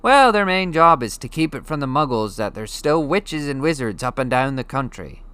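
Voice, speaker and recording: A man reading an audiobook, voicing a gruff, hearty, friendly giant with rough, folksy, blustery speech. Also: medium pitch, sing-song, normal speed, bright, forceful tone, no room sound, voice pushed up. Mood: grumbling, blustery. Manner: folksy, blustery, dropped grammar. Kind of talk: audiobook character voice.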